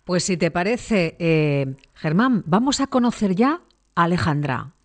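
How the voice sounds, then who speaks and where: Radio host lady asking questions, calm and friendly, uses fillers. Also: lowish voice, measured pace. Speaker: radio interviewer. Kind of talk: radio interview.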